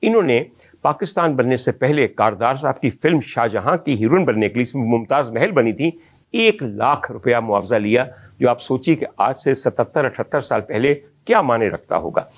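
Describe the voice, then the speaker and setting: A man's voice: mid-range voice, animated, normal speed. A man from Pakistan, telling the history of old film songs in an ornate, reminiscing manner and speaking directly to his audience. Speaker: film music historian host. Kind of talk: storytelling music history talk.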